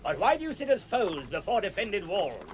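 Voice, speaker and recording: An actor playing a proud, hot-tempered dwarf warlord in a blustering 1960s radio drama manner. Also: male voice, sits high, sing-song, moderate pace, a little hiss or hum. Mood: proud hail. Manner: blustering, declamatory. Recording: vintage radio drama with music.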